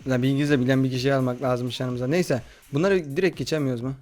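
A man hosting a horror game playthrough in slangy, excitable, casual chat, often addressing his viewers. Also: medium pitch, quick pace. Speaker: gaming video host.

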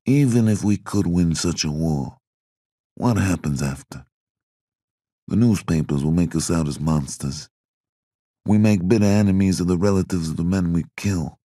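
A solemn old crime boss, as a narrator puts him on, slow and weighty in every word. His voice is low, dark and dry. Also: no room sound. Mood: grave. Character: aging male crime boss, grave and measured.

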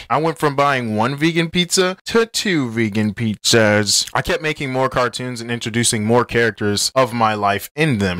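Young man, scripted storytelling, bright tense tone, close dry sound. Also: mid-range voice, no echo. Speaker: animator and video creator telling own story. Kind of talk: scripted narration of a personal story.

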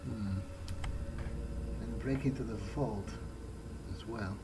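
A man roleplaying a polite, pensive vampire in a video game, thinking aloud in a hesitant, measured way. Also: fairly low pitch, heavy background noise. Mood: musing. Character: polite, pensive male vampire musing to himself. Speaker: gaming video creator playing in character. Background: loud game music.

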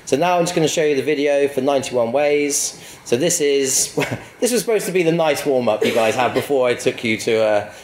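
A man's voice: medium pitch, lively intonation, brisk, darkish tone. A middle-aged man giving an informal public presentation as a company founder. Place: stage at an indoor event venue. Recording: echoey live venue, faint noise.

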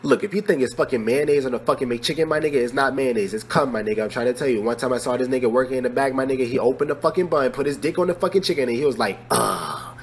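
A man's voice: medium pitch, racing, few pauses. A man doing comedic, profane voice-over dubs in a slangy, exclamatory way. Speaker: comic voice-over dubber. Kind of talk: comedic profane dub skits. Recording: noisy homemade dub recording.

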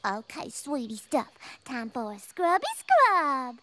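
A woman's voice: high voice, sing-song, slow pace, heavy background noise, heavily acted. A woman voicing a sweet, doting teenage jungle girl with cutesy cooing in a cartoon. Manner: cutesy, sing-song cooing. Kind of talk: cartoon voice acting.

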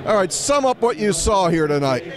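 A man's voice: high-pitched, sing-song, normal speed. A middle-aged man hosting a casual postgame sports talk show on a handheld mic in an arena. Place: on the court of a large indoor arena. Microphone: handheld microphone.

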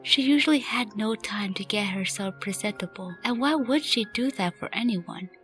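A woman's voice: medium pitch, lively intonation, normal speed, fairly bright tone. A woman reading scripted story narration as the narrator of a fan radio play. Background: music.

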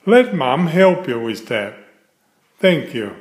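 Lively middle-aged man reading, roomy sound. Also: a little high, slow pace. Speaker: children's book reader. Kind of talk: read-aloud bedtime storytelling. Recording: roomy, echoey voice recording.

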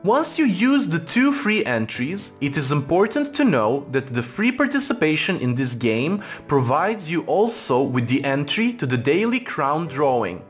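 Male tutorial narrator, scripted, formal and measured, animated pitch movement, background music. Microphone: voice-over for screen recording.

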